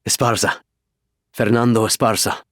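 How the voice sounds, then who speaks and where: Male narrator as excitable rattled pilot, eager, animated, dry studio sound, audiobook character. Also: lowish voice, normal speed, no echo, natural voice. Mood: friendly.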